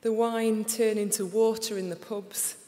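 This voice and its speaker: A young woman with a Sheffield accent reading her poems aloud in a slow, deliberate recital before an audience. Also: medium pitch, level tone, dark tone. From England. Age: young adult. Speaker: poet. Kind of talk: poetry reading. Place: large concert hall. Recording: live reading in a reverberant hall.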